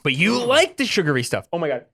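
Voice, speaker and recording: A man co-hosting a casual podcast chat, joking and ranting with animated energy. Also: a little high, quick pace, gravelly, no room sound, studio quality. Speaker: podcast co-host.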